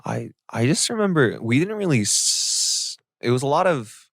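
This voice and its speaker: A young man, a podcast guest who talks in a halting, hesitant way full of restarts. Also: medium pitch, big pitch swings, creaky, fairly bright tone, no echo, clean studio sound. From Seattle, USA. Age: 20s. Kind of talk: casual podcast chat.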